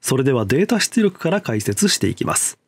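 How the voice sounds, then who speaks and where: A man's voice: mid-range voice, sing-song, brisk, husky, no echo, studio quality. A man presenting as a lead animator, reading a scripted technical explanation in a formal, polite manner.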